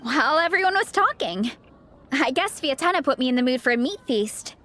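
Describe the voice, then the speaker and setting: A woman's voice: sits high, lively intonation, brisk, bright, forceful tone. A woman voicing a spirited, encouraging young female fan in a video game, emphatic and upbeat. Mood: enthusiastic. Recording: music clearly under the voice.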